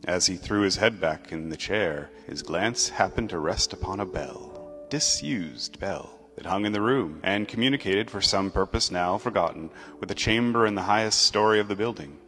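A man narrating a classic story in a theatrical, measured and emphatic storytelling style. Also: low-pitched, fairly bright tone. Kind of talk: dramatic narrated reading of a classic Christmas tale. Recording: long reverb, music underneath.

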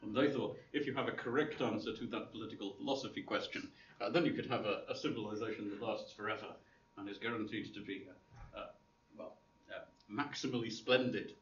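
A man's voice: fairly low pitch, fairly quick. A man giving an informal lecture, rambling with many fillers and restarts, with dry humor. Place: classroom. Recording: roomy, distant, dull lo-fi room recording with faint hiss.

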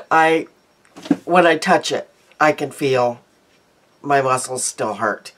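Slow-talking chatty congested woman. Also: deep voice, animated, a little hiss or hum. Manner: chatty, rambling, frequent ums. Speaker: beauty vlogger. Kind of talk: casual daily vlog chat. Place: small home room, indoors.

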